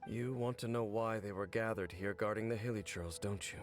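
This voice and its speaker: A man voicing a solemn, world-weary knight in a video game, speaking in a measured, brooding way. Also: on the low side, soft background music, natural voice. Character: grave, world-weary male knight, former royal guard commander. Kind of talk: video game character acting.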